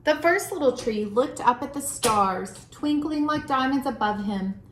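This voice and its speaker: A woman reading a children's storybook aloud as a storyteller, with expressive, deliberate phrasing. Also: high-pitched, a little hiss or hum. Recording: roomy, echoing room sound.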